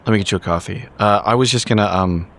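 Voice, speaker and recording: A man playing a blunt, awkwardly friendly female investigator in an improvised tabletop roleplay, talking casually and haltingly. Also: lowish voice, vocal fry, a little hiss or hum. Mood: awkward, helpful.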